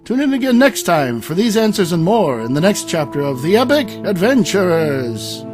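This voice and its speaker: A man's voice: high-pitched, very animated, normal speed. Male drama narrator, scripted announcer read, varied intonation, music bed underneath. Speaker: narrator and voice actor. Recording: music behind the voice.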